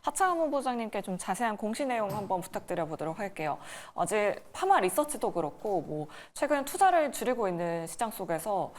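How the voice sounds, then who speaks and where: A woman's voice: medium pitch, big pitch swings, brisk, hardly any pauses. A woman hosting a stock market broadcast, talking conversationally and politely putting questions to a guest. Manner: polite, inquiring. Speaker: host of a stock market show.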